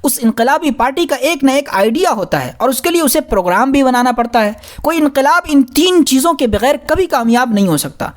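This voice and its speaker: A woman narrates a scholarly audiobook in a high voice. Her intonation rises and falls in a very lively way, while her delivery stays formal and measured, as scripted reading. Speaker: audiobook reader.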